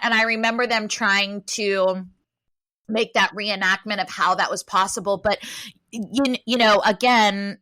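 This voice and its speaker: A woman guest chatting animatedly on a podcast, loud and rambling, with many fillers, speaking close to her microphone. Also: mid-range voice, no room sound. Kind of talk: casual chat. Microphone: close to a desk microphone.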